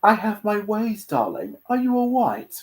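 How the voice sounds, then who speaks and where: A woman's voice: medium pitch, sing-song, a little slow, voice pushed up. A woman voicing a theatrical, fussy demon prince, a protective uncle, in a story reading. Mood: concerned.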